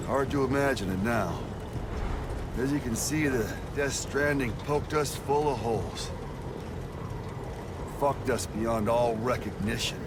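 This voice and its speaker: Game role: man plays a jaded American driver, plainspoken and profane, average male pitch, loud road noise behind. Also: lightly acted. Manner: blunt, folksy, profane. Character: world-weary, foul-mouthed male driver hauling a body. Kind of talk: video game cutscene acting.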